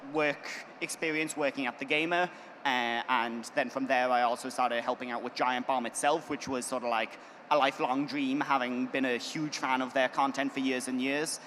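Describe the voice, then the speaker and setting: A young man on a conference panel, telling his path into the work quickly, with many fillers. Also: mid-range voice, pushed and bright. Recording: reverberant hall, faint noise.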